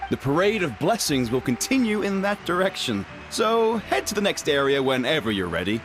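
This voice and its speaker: A man's voice: fairly high pitch, sing-song, normal speed, music in the background. A man playing a young event guide in a video game, friendly, helpful and deferential. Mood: eager.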